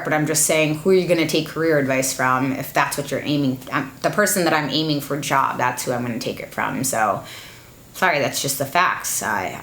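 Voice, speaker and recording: A young adult woman giving a blunt, monotone life update to her camera at home, with fillers along the way. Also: low voice, reverberant, slight background noise. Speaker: beauty YouTuber. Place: room at home, echoey. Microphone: camera with ring light.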